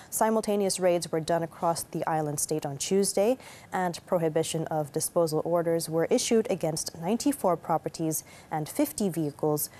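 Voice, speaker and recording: Woman news anchor, dark mellow voice. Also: on the low side. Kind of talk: scripted news reading.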